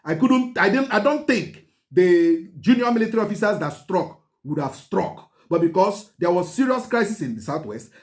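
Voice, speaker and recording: Emphatic high-voiced Nigerian man. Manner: emphatic, repeats key points, urges listeners to pay attention; mixes Igbo phrases into English. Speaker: channel host. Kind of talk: history commentary to camera.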